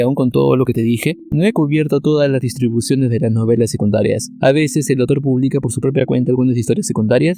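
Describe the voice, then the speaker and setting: A man talks through a scripted video essay at a brisk clip, his voice gravelly with fry and dark, mellow in tone. His delivery is wry and dry, slipping jokes in deadpan. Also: medium pitch. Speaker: YouTuber.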